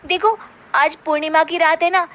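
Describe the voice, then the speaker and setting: Woman voicing an earnest, high-pitched village girl. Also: steady tone, normal speed, fairly bright tone. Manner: earnest, helpful. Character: kind, earnest young village girl in a cartoon.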